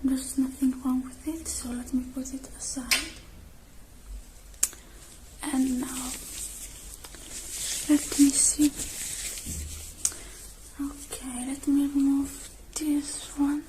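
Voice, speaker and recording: Softly whispering woman, unhurried ASMR roleplay. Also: high voice, level tone, a little hiss or hum, low-quality recording. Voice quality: soft, breathy whispering. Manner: slow, gentle, murmured step-by-step narration. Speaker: ASMR creator playing a robot technician.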